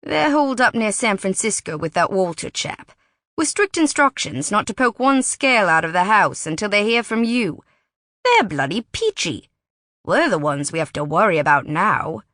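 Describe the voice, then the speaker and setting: A woman's voice: medium pitch, expressive, moderate pace, a bit bright, no echo, studio quality, natural voice. A woman voicing an impatient, stubborn young British man who scolds urgently.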